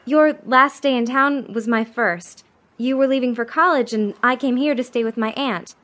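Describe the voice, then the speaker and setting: Gentle young frontier woman, lightly high actress. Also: moderate pace, natural voice. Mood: gentle, sympathetic. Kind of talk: western audio drama acting.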